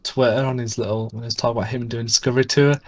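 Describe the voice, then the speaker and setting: Man, podcast co-host, soothing voice, casual excitable chat, medium pitch. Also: rapid-fire. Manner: self-deprecating, excitable storytelling.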